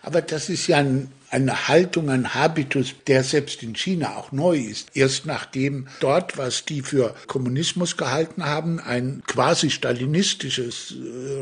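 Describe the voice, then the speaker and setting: A man moderating a casual panel discussion, adding measured analytical remarks. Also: mid-range voice, breathy edge.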